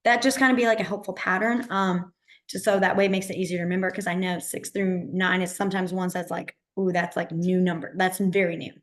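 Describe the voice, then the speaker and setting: A woman with a hoarse, partly lost voice, teaching a beginner sign language class online in a chatty, encouraging way. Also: on the low side, fairly even intonation, brisk. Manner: chatty, encouraging, many fillers.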